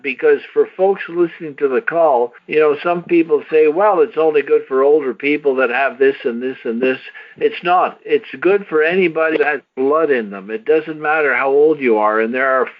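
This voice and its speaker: A man's voice: on the high side, normal speed. A man hosting a promotional conference call, talkative and emphatic, repeating points for listeners over a lo-fi call line. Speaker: host of a success-story conference call. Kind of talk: promotional testimonial call talk.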